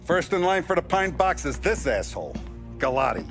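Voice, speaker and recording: An actor playing a calculating crime family boss, calm and commanding, in a game cutscene. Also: male voice, a little high, moderate pace. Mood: scheming. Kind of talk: video game cutscene acting. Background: background music.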